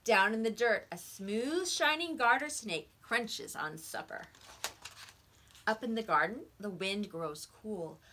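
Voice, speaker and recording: A woman reading picture books aloud to young children like a teacher, with expressive emphasis on the words. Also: mid-range voice, slow, slight background noise.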